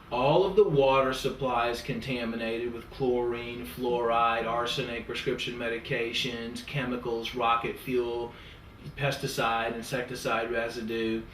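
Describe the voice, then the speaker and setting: A man's voice: mid-range voice, fairly bright tone, slight background noise. A man working as a natural health practitioner, explaining his approach to a client in a lecturing way, building long lists and analogies. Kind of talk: consultation with a client. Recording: echoey room, mic at a distance.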